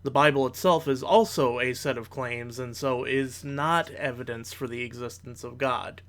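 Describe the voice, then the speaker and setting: Male commentator for reaction content. Mid-range voice, measured, formal phrasing, reasoned and argumentative. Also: mid-range voice, a bit bright. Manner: measured, analytical, formal wording.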